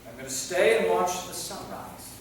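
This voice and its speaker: Guy doing anguished vampire in a play, swingy high voice, big echoey hall, kinda far away. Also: lively intonation, normal speed, slight background noise. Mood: despairing. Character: anguished, weary, bitter immortal male vampire. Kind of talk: staged reading of a stage play. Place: theater, recorded from the audience distance.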